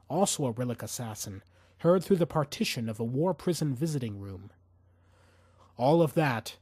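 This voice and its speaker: A man narrates dramatic fiction in a suspenseful, expressive way. His voice sits a little high and moves through a lively range of pitch. The sound is dry and close, free of room echo. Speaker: audiobook narrator.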